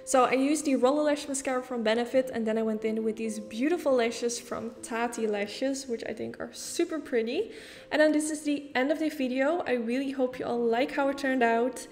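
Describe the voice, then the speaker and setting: A woman's voice: fairly high pitch, long reverb tail. A woman from the Netherlands with a Dutch accent, talking casually through a makeup tutorial step by step. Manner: chatty, explains step by step with casual fillers. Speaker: beauty YouTuber.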